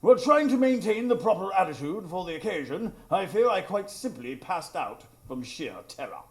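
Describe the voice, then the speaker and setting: Man acting earnest gentleman narrator, high-pitched, lively intonation, storytelling, radio play. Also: moderate pace. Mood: wry. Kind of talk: scripted narration in live radio drama.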